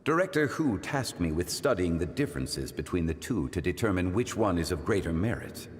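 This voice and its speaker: A man voicing a calm, formal, scholarly gentleman in a video game, measured and courteous. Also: low voice. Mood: courteous, musing. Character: calm, dignified, scholarly gentleman of ancient wisdom. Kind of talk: English video game voice acting. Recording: long reverb, music behind.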